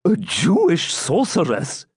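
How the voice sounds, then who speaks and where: A man's voice: medium pitch, sing-song, normal speed, mellow, husky, dry recording, studio quality. A man voicing a hypocritical preceptor of a religious house, answering in a deferential, falsely humble tone.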